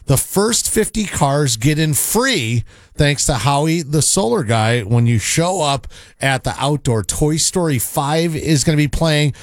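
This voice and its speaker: A man's voice: expressive, measured pace, no room sound, clean studio sound. Upbeat man reading a radio ad. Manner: upbeat, pitchy sales delivery. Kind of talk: read commercial.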